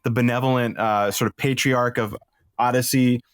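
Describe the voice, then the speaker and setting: A man with a middling pitch chats on a podcast. He is wry and somewhat hesitant, recorded close and dry. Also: steady tone, normal speed, clear, resonant tone, no room sound. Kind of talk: casual podcast discussion.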